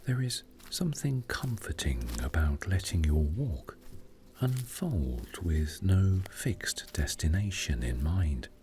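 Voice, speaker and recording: A man narrating a scripted sleep meditation story in a soothing, gentle, unhurried way, with breathy phrase endings. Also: low-pitched, big pitch swings, a little hiss or hum.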